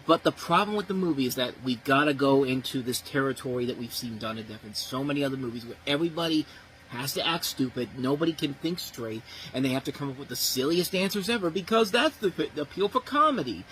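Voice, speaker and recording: A man's voice: mid-range voice, animated, fast talker, fairly bright tone, slight background noise, rough recording. A man giving a blunt, profane, exasperated movie review, ranting about what went wrong. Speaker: film reviewer.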